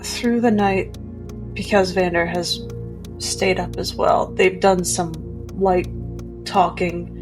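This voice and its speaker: Lower-pitched woman narrating over music. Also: fairly even intonation, takes it slowly. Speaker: tabletop role-playing game player. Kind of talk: descriptive narration of a character.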